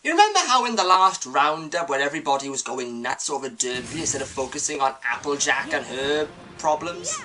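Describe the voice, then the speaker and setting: A woman's voice: deep voice, expressive, brisk, few pauses, sharp tone, faint music behind. A woman reading a scripted, opinionated video review.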